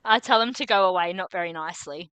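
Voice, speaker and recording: A woman's voice: on the low side, fast talker, fairly bright tone, smooth, no echo. A young woman in her twenties co-hosting a podcast, chatting casually and laughing often. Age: 20s. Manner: giggly, chatty. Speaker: podcast co-host. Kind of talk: casual chat.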